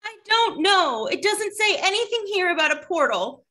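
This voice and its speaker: Woman playing a high, bright warrior heroine. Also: very animated, moderate pace, full character voice. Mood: wary, urgent. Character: wary young female warrior heroine. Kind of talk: animated fantasy table read.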